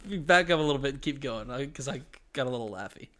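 A young man co-hosting a casual podcast. He talks in loose banter and keeps dissolving into high giggles. His pitch swings widely from phrase to phrase. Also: lively intonation, fast talker, bright, forceful tone. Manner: giggly, breaks into high laughter. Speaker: podcast co-host.

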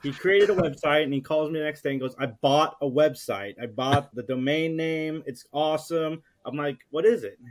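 A man chatting casually as a podcast guest, telling anecdotes in an animated, conversational way. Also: a little high, normal speed, hardly any pauses. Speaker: podcast guest and co-host of a geek culture show. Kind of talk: casual storytelling chat.

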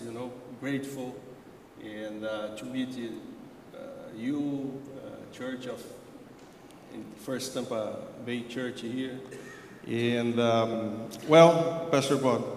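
A man whose first language is Portuguese, speaking as a pastor with a Portuguese accent in hesitant English full of "uh" fillers. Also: medium pitch. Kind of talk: halting church testimony. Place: large church sanctuary. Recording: roomy echoing church hall, faint background noise.

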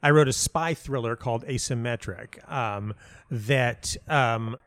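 A man's voice: medium pitch, measured pace, a bit bright, dry recording, clean studio sound. A man giving casual interview answers as a playwright, rambling and often interrupting himself as he tells stories. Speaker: playwright and audio dramatist being interviewed.

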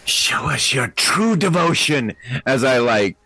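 Slow-talking, profane male gamer. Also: medium pitch, big pitch swings, pushed and bright, husky. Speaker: tabletop game player. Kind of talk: casual game chat.

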